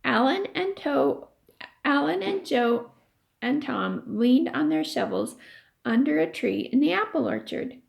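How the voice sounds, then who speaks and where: High, very creaky, slow-paced woman teacher. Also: sing-song. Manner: questioning, explanatory, addressing children. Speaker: teacher reading aloud. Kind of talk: read-aloud lesson for children.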